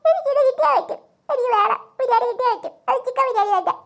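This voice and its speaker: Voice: very high-pitched, very fast, gravelly. A performer voicing a whiny, demanding small child in a comic family cartoon, pleading with melodrama.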